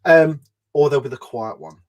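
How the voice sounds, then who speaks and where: Advocate, earnest explainer, mid-pitched man. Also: animated, normal speed. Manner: earnest, explains at length with many "you know" and "kind of". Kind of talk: conversational interview.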